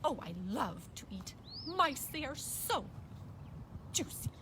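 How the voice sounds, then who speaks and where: Proud puppet hawk, female performer: stately, self-admiring, slow delivery, noisy recording. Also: sits high, big pitch swings, bright, forceful tone, exaggerated voice. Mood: haughty. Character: proud, haughty red-tailed hawk. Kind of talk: children's puppet show.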